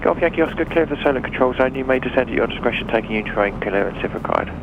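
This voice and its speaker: A man's voice: medium pitch, animated, quick pace. A man working as an air traffic controller, giving clipped procedural instructions over aviation radio. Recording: muffled lo-fi radio with background noise.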